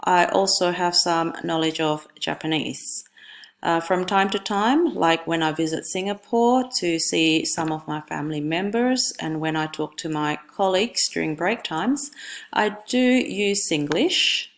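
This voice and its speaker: A young woman whose first language is Indonesian presents her assignment in a deep, low register. She speaks carefully and in a measured way, pausing on ums and correcting herself now and then. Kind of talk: recorded class presentation.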